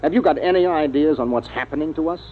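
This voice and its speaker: A man's voice: a little high, fast, a little hiss or hum, lightly acted. An actor playing a troubled, commanding spaceship captain who tells his story in a dramatic old radio drama. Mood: grave, decisive. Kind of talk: 1950s radio drama acting and narration. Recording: muffled vintage radio recording, music behind.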